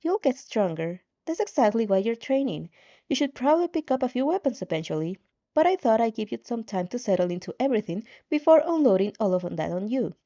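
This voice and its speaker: A woman voicing a calm, controlling game-like system guide in a fan fiction reading, patient and reassuring with a menacing edge. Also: a little high, fairly quick, dull audio. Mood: patient, persuasive.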